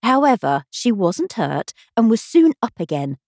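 Female storybook narrator, higher-pitched and animated, with a dry sound. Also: measured pace, no echo. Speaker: audiobook reader.

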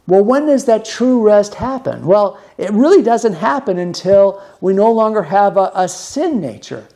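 Guy preacher w a slightly high voice, earnest chatty sermon, explains stuff and repeats words for emphasis. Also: expressive, unhurried, warm tone. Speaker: preacher and podcast host.

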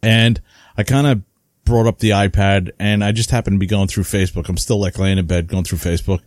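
A man's voice: on the low side, a little fast, dry recording, studio quality. A man co-hosting a hobby podcast, chatting casually and telling stories with lots of fillers. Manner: rambling, animated storytelling with many fillers. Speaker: podcast co-host. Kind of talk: casual chat.

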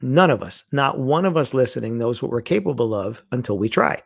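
Animated middle-aged man telling stories. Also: medium pitch, brisk, gravelly, breathy edge, no room sound. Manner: animated storyteller, acts out quoted lines. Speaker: guest. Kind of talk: casual storytelling conversation.

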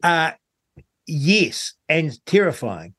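A man with a New Zealand accent talking in a casual radio discussion as host, with a hesitant, halting delivery. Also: on the high side, sing-song, fairly bright tone, husky, no echo, studio quality. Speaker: talk show host.

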